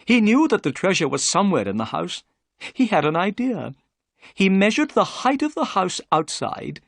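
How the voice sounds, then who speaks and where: A nervous, talkative gentleman chatters eagerly, his voice lifted high and fussy, as a narrator puts him on. The tone is breathy and lively, recorded close and dry. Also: normal speed, no echo.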